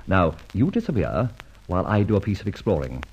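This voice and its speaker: An actor playing a suave, wry, self-assured gentleman thief in a vintage radio drama, with an urbane manner. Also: low voice, wildly swooping pitch, a little fast, husky, exaggerated voice. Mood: sly, amused. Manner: urbane, wry, clipped commands. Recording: dull vintage radio recording, music behind.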